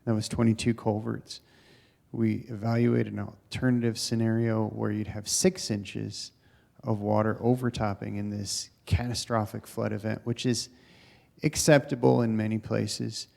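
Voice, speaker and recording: Engineer guy at a council meeting, super gravelly, monotone, matter of fact. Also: fairly low pitch, measured pace. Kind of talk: technical explanation at a public meeting. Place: town council chamber.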